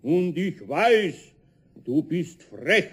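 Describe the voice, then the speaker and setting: An actor playing a stern but kindly older master carpenter, grumbling and scolding in a children's radio play. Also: male voice, a little high, very animated, drawn-out words, husky, exaggerated voice. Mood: posing as stern visitor.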